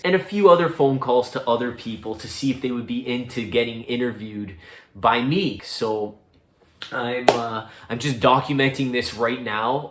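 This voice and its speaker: A man's voice: mid-range voice, reverberant. A man with a Canadian accent talking casually and a little hesitantly, like a first-person vlog. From Mississauga, Canada. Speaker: vlogger making a first documentary.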